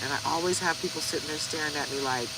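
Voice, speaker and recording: Chatty woman in her forties, lowish voice. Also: quick pace, very noisy. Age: 40s. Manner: chatty, talks straight to viewers. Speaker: tarot card reader. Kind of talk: casual tarot reading vlog.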